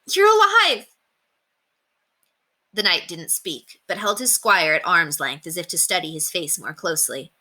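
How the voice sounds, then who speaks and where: A woman reading a children's story aloud as an expressive storyteller. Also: lowish voice, normal speed, hardly any pauses.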